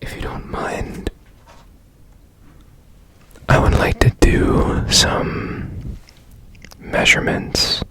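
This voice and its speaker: A man playing a strange, curious, gently reassuring repairman in a whispered ASMR roleplay, speaking politely and haltingly in a breathy hush. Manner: slow, hushed, polite, halting.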